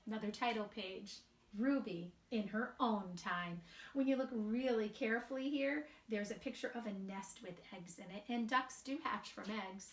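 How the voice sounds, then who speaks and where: A woman reading a children's picture book aloud in a deliberate, expressive way with emphatic pauses. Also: a little high. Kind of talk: read-aloud children's storytelling. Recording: roomy, echoing sound.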